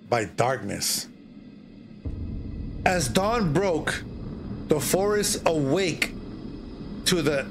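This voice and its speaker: A middle-aged man reading a horror story aloud in a theatrical, halting way, often repeating phrases. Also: fairly high pitch. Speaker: streamer, horror story reader. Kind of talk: dramatic storytelling read aloud.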